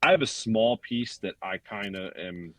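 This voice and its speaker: A man's voice: on the low side, expressive, moderate pace. A man in his mid-thirties, a guest in a casual chat, agreeable and chatty, often saying yep. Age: 30s.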